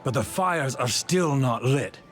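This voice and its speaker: A man's voice: mid-range voice, lively intonation, unhurried, close to an everyday voice. An actor playing an ordinary disgruntled townsman in a video game. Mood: grumbling. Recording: prominent game music behind.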